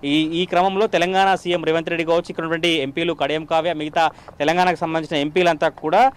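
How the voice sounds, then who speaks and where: Male field reporter, fairly high voice, rapid formal news delivery with filler sounds. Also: level tone, clear, resonant tone. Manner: fast continuous delivery with frequent "aa" fillers.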